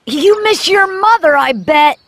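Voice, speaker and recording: A woman voicing a headstrong, emotional young boy in a children's cartoon, childlike and expressive. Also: very high-pitched, unhurried, exaggerated voice. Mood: taunting, angry.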